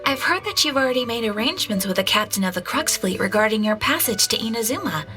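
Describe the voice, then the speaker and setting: A woman's voice: on the high side, expressive, quick pace, lightly acted. A woman voicing a courteous, composed receptionist in a video game, speaking formally and politely. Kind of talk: video game cutscene acting. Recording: music behind the voice.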